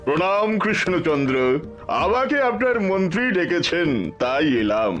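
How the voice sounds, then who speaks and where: Sly, silky-voiced scholar. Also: male voice, sits high, big pitch swings, normal speed, a bit bright, soft background music. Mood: persuasive. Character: sly smooth-talking male scholar, scheming. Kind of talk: cartoon voice acting.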